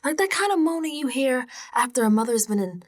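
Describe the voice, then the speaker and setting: An actress performs a young American woman in a radio drama monologue, streetwise and unsettled. Her voice sits fairly high and swoops freely. She talks in casual, emphatic slang, as if confiding in a friend. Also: sing-song, brisk. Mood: haunted, grieving. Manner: colloquial, sassy, emphatic, dropping into whispers.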